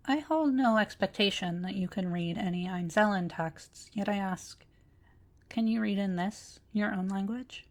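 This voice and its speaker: A woman playing an ancient, courteous automaton librarian in a scripted audio roleplay, speaking formally with precise, measured diction. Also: mid-range voice, noisy background, natural voice. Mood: formal, reflective. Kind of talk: scripted roleplay audio drama addressed to listener.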